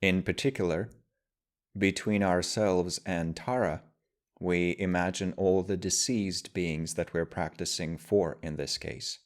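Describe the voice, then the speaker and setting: A man guiding a meditation as a teacher, speaking in measured, deliberate phrases with pauses. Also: low-pitched, fairly even intonation. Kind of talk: guided meditation, slow spoken instruction.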